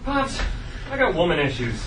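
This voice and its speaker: Lovestruck schoolboy voiced by a man: sheepish, earnest, animated pitch, sitcom read in a roomy space. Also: moderate pace. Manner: bashful, earnest. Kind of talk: table read of a sitcom script. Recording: noisy, echoey, distant live recording.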